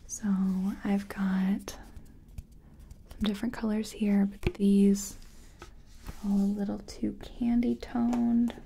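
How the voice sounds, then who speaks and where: A woman playing a nervous, gentle makeup artist in a soft-spoken ASMR roleplay, breathy and hesitant, often murmuring to herself. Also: medium pitch, level tone, takes it slowly, long pauses, slight background noise. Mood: focused, unsure. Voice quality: breathy, whispery.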